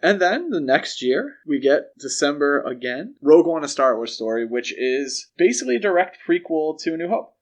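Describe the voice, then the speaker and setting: A man's voice: on the high side, big pitch swings, moderate pace, few pauses. Man casually talking films as podcast host. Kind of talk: casual film discussion.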